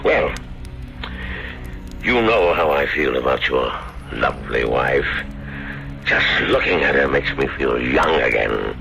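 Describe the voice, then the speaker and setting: A man's voice: rumbling low, animated, slow, creaky, pauses often, sharp tone, faint music behind, full character voice. An actor playing a sly, lecherous older creditor in a radio drama, wheedling and drawing out his insinuations. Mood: leering, suggestive. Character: sly, lecherous, domineering older male wine-loving creditor. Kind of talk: 1970s radio drama acting. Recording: dull vintage radio recording.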